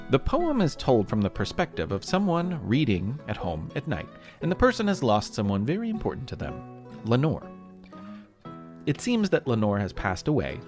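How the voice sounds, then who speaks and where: A man's voice: medium pitch, darkish tone. A man with an American accent narrating a scripted history podcast as host, telling it like a storyteller to young listeners.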